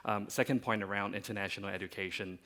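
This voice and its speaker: A man's voice: lowish voice, fairly even intonation, fast talker, pushed and bright. A man from Malaysia speaking as a panelist, with many fillers and restarts. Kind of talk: panel discussion remarks.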